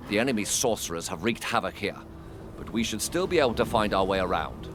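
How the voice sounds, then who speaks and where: A man's voice: lowish voice, lively intonation, quick pace, fairly bright tone, slight background noise. A man playing a resolute military leader in a fantasy video game, commanding and declamatory. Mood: grave, resolute. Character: commanding, resolute male war leader addressing soldiers. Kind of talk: dramatic video game acting. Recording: music behind the voice.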